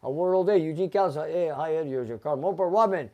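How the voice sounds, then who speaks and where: A man's voice: on the high side, quick pace, highs cut off. A man hosting a casual live car show, reading out viewer names and comments in a chatty way.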